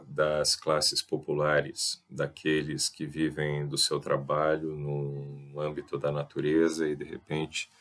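Brazilian man in his forties, very low, flat voice, professorial talk with many pauses, headset mic. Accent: Brazilian Portuguese. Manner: thoughtful, professorial, frequent filler pauses. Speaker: host. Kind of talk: informal lecture to camera. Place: small indoor room with a chalkboard. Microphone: headset mic on a webcam video.

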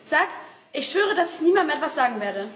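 A woman's voice: sits high, very animated, a little fast, fairly bright tone, roomy sound, recorded from a distance. A young woman playing a terse, demanding young woman in school stage drama, with blunt, clipped delivery. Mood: insistent. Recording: faint background noise, stage ambience.

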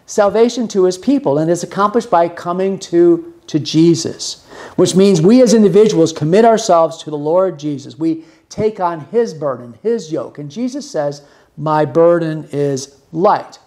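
An older man in his sixties, teaching scripture with earnest, plain talk. His voice sits a bit higher than most men's, and he often restarts words mid-thought. He's recorded on a desk camera in a small room. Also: lively intonation, normal speed, mellow. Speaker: podcast host teaching the Bible. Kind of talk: Bible teaching monologue. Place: small room with music gear behind.

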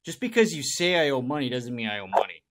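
A man's voice: medium pitch, sing-song, fast, fairly bright tone. A man chatting casually on a prank phone call, stalling and argumentative, repeating himself. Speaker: prank caller.

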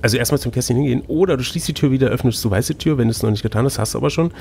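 A man's voice: medium pitch, rapid-fire, vocal fry, darkish tone. A man acting as game master, reading a fantasy gamebook aloud and bantering casually. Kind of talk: storybook narration mixed with casual banter. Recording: noticeable background noise.

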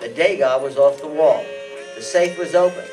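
A man's voice: a little high, moderate pace, close to an everyday voice. Wry private detective, played by a man, distant mic. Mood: narrating drily. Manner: deadpan, wry. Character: wry, flirtatious hard-boiled male private detective. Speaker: amateur radio play performer. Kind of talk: old-time radio detective narration and dialogue. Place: meeting room stage before seated audience. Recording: distant room microphone, music behind.

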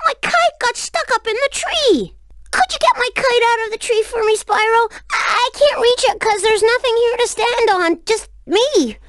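A small child creature for a video game. The voice is extremely high and closely dry. It sounds innocent, eager and pleading. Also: female voice, no room sound. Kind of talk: video game character dialogue.

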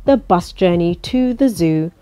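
A woman reading a children's picture book aloud in an expressive, coaxing way, as if to young listeners. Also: on the low side, a little slow, slight background noise. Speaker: storybook reader.

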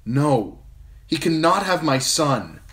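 A man's voice: medium pitch, animated, a little slow, voice pushed up, lightly acted. A man reading an audiobook, voicing a proud young queen, commanding and cold when she gives orders. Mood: distraught. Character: young queen, proud, frightened but defiant woman.

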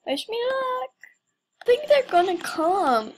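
A woman's voice: very high-pitched, very animated, slow pace, slight background noise, rough recording. A young woman giving casual, playful game commentary with mock drama and exclamations. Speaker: gamer recording gameplay.

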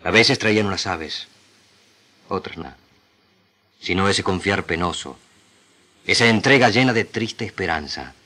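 Very deep-voiced man reciting solemnly. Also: bright, forceful tone. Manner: solemn, measured phrasing with pauses. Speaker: radio drama actor reading literary excerpts. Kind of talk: dramatic literary recitation.

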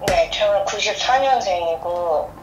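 A woman's voice: medium pitch, expressive, measured pace, distant mic, background noise. Young woman client with dull, echoey sound. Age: 20s. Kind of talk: consultation, brief questions and answers.

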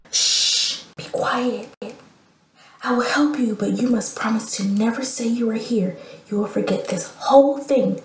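Woman acting kind cautious local lady, highish, echoey, faint noise, radio play. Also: recorded from a distance. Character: helpful, cautious townswoman, secretly kind.

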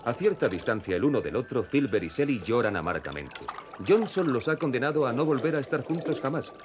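A man's voice: medium pitch, very animated, a little fast, noisy background. A man narrating a children's cartoon in a scripted storytelling style.

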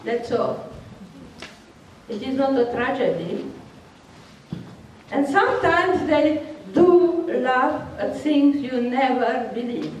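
An older woman with a Hungarian accent answers audience questions in a frank, matter-of-fact way, laughing now and then. Her voice is high and unhurried. She sounds far off in a very echoey hall. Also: lively intonation, a little mellow, slight background noise. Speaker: workshop speaker. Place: large hall with seated audience. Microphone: handheld microphone, recorded from far off.

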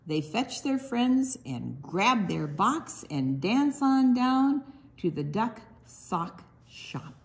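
An older woman reading a children's picture book aloud in an expressive, deliberate sing-song way, with small asides to her young listeners. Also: a little high, creaky.

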